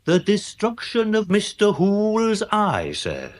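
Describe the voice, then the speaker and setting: A man's voice: high voice, wildly swooping pitch, slow, heavily acted. A plodding English police sergeant in a radio mystery. He reports with literal-minded formality and deference, his medium voice rising and dropping markedly. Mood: solemn reporting. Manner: stolid, over-formal, deferential. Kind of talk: British radio comedy-mystery drama acting.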